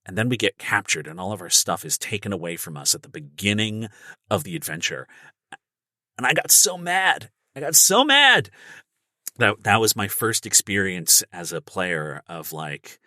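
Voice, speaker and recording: A middle-aged man, an actor appearing as a podcast guest, telling casual anecdotes with emphatic, animated phrasing. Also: fairly low pitch, moderate pace, no echo.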